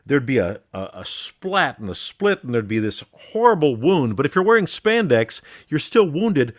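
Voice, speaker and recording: A man's voice: medium pitch, very animated, normal speed. A middle-aged man co-hosting a podcast, bantering satirically in a wry, mock-serious way. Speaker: podcast co-host.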